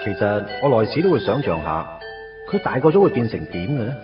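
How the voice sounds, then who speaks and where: A wry young Hong Kong man in a Cantonese radio drama, self-mocking and conversational. His intonation is lively and wide-ranging. Music plays behind his slightly dull-sounding voice. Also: lowish voice, a little fast. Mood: wry, wistful. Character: wry, put-upon young man recalling his first love. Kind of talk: radio drama narration and dialogue.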